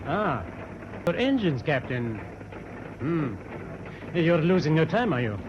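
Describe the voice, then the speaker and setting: An actor playing a courteous, reserved Norwegian naval commander in a radio drama, speaking politely and formally. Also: male voice, fairly high pitch, very animated, slow. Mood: quizzical. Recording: noisy, muffled radio drama recording.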